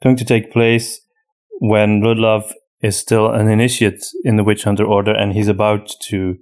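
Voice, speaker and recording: A man's voice: fairly low pitch, flat delivery, moderate pace, a little mellow. A man hosting a podcast in casual unscripted chat, thinking aloud hesitantly as he answers. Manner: hesitant, thinking aloud with frequent "you know". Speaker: podcast host and audio drama creator.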